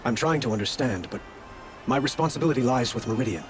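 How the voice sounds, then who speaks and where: An actor playing a courteous, dutiful male ruler in a video game, with a formal, measured manner. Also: medium pitch, animated, natural voice. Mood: concerned, hesitant. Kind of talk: dramatic video game dialogue.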